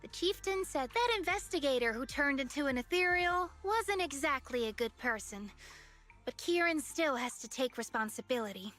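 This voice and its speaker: A woman's voice: high voice, expressive, normal speed, a bit bright. A woman playing an earnest, eager young researcher with big dreams in a video game. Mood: serious. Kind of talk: English video game voice acting. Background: game music.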